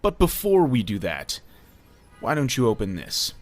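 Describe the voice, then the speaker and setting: An actor playing a defiant young hero and former sidekick in a comic dub, speaking bluntly and with feeling. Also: male voice, mid-range voice, wildly swooping pitch, moderate pace, faint music behind. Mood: friendly, mentoring. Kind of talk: comic dub dramatic acting.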